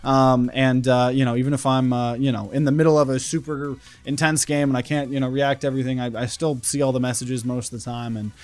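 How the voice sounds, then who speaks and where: A man's voice: medium pitch, little pitch movement, a little fast, hardly any pauses, darkish tone. A man, talking to camera in a loose, rambling vlog full of fillers and restarts. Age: 20s. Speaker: content creator. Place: indoor room. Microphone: camera at desk.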